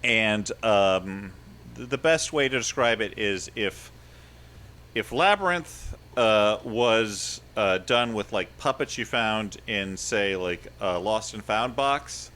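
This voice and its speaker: A man with a lowish voice co-hosts a casual comedy podcast. He rambles through his points, laughing at himself and piling up little asides. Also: expressive, takes it slowly, bright, forceful tone. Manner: rambling, self-deprecating, laughs often. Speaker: podcast co-host.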